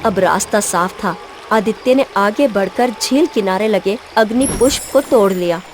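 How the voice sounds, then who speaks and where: A woman narrates a fairy tale in a medium-pitched voice. Her delivery is scripted and dramatic storytelling, with clear background music. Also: moderate pace.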